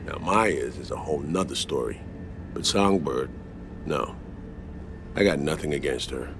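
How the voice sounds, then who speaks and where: An actor playing a weary, loyal veteran spy in a video game, speaking in a deliberate, blunt, measured way. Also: male voice, extremely low pitch, lively intonation, background noise, full character voice. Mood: grave, reflective. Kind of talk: video game cutscene acting.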